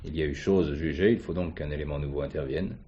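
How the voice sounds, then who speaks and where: An actor playing a courteous, unhurried police commissioner in a theatrical drama, speaking in a measured, polite way. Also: male voice, very deep voice, warm tone, muffled recording. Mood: candid, measured. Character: unhurried, courteous male police commissioner investigating an old case. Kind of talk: French stage or TV play acting.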